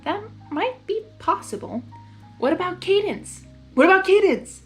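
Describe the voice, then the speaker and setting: A woman's voice: very high-pitched, expressive, measured pace, soft background music, voice pushed up. A woman voicing indignant, suspicious young cartoon ponies in a story, with exclaimed, demanding questions.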